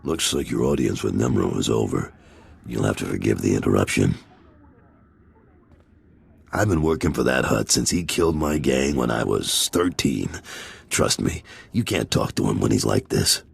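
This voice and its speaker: A man playing a blunt, businesslike lieutenant to a crime lord in a video game, with a gruff, husky voice. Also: rumbling low, animated, normal speed, exaggerated voice. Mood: businesslike, weary. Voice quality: gravelly, husky. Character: gruff, wary crime lord's lieutenant and enforcer. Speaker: voice actor in a game. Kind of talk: video game cutscene acting.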